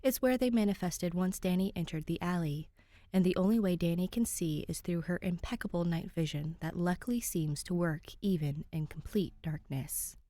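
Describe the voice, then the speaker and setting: A woman's voice: on the low side, normal speed, mellow, no room sound. A woman reading a story aloud as a scripted fiction narrator. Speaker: podfic narrator.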